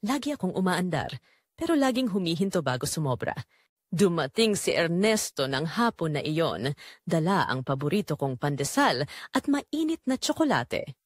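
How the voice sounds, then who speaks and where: A woman's voice: fairly low pitch, big pitch swings, normal speed, no room sound, studio quality. A woman delivering emotive, confessional first-person narration for a scripted audio drama.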